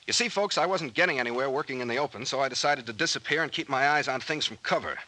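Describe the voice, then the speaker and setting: A man's voice: mid-range voice, quick pace, sharp tone, lightly acted. A man acting in an old-time radio drama as a confident, genial sea captain sleuth with an American accent, explaining things in a self-assured way. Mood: matter-of-fact.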